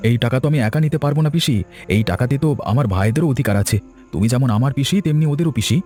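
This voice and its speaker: A man's voice: mid-range voice, lively intonation, rapid-fire, darkish tone, faint music behind, close to an everyday voice. A man voicing an earnest, self-sacrificing eldest brother of a village family in a cartoon. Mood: earnest, principled. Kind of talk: Bengali cartoon dubbing.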